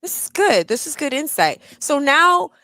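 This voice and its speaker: A woman hosting a casual language club chat, lively and quick to laugh, repeating words to practice them. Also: high voice, dry recording. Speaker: host.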